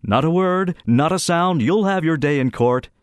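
A man's voice: on the high side, expressive, moderate pace, clean tone, dry recording, clean studio sound, pitched above the performer's own voice, lightly acted. Man doing a pompous teenage schoolboy prosecutor. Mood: mock-accusing. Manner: judicious, accusing, theatrical.

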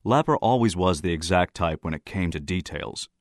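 A man's voice: deep voice, animated, normal speed, dry recording, clean studio sound. A man playing a serious, authoritative teenage schoolboy who leads an inquiry in an audiobook. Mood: quietly insistent.

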